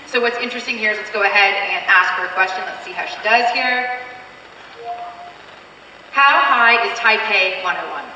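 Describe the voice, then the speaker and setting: A woman's voice: medium pitch, normal speed, long pauses, pushed and bright, slight background noise. A woman with a Canadian accent giving an upbeat, chatty live product demo on a convention stage, with frequent fillers. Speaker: keynote presenter. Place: large convention hall stage. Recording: distant mic in echoey hall.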